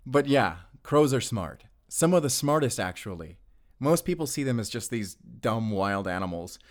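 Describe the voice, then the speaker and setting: A male actor plays a friendly, bird-loving dad from across the street in an intimate audio drama. His voice sits at an ordinary middle pitch. He rambles nervously and apologetically, with an awkward, natural delivery. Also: close to an everyday voice. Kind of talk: naturalistic audio roleplay acting addressed to the listener.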